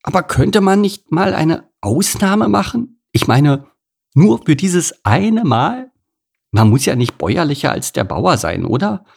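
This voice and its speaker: A man's voice: fairly high pitch, sing-song, normal speed, creaky, voice pushed up, exaggerated voice. A man voicing a melodramatic, indignant female cow who whines and complains shrilly in a storybook. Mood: evasive, reluctant. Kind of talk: storybook character voice.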